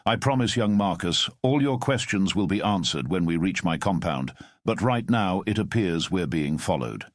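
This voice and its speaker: A man playing a calm, hospitable older contact with a secret compound, smooth and reassuring. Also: sits low, normal speed, dry recording, clean studio sound.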